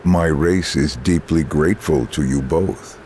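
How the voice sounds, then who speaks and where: An actor playing a calm alien intellect in a man's body for a game, speaking in formal, stilted, detached sentences. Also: extremely low pitch, unhurried, a little hiss or hum. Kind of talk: cinematic game voice acting.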